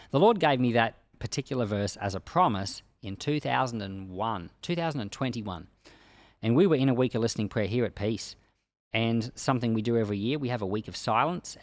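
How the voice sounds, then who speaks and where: A man's voice: mid-range voice, no room sound. A man speaking as a pastor, giving informal Bible commentary in a conversational way with plain, everyday words. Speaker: pastor and Bible podcast host.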